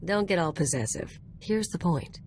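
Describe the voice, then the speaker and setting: Woman playing a cocky, low-voiced female rogue. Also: expressive, moderate pace, darkish tone, a little hiss or hum, natural voice. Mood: cocky, teasing. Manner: casual, teasing, swaggering. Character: cocky, flirtatious female rogue with a shady past. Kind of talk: video game voice acting.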